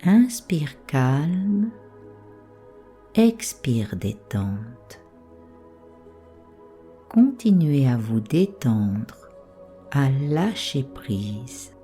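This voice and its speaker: Low, mellow, slow-speaking woman guiding meditation. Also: husky, faint music behind. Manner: calm, soothing, measured instructions with pauses. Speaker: meditation guide. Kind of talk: slow guided yoga nidra relaxation.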